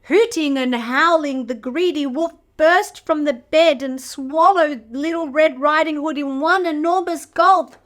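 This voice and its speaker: Slow, high-voiced woman reading to toddlers. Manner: expressive, addresses young listeners with questions. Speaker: children's storyteller. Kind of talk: read-aloud picture book narration for toddlers.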